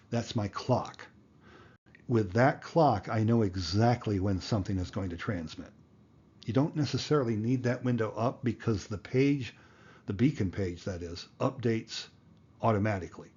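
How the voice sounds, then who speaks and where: Slightly low, husky man explaining step by step. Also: darkish tone, dull audio. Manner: plain-spoken, step-by-step. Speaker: amateur radio instructor, video host. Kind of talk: explanatory tutorial narration.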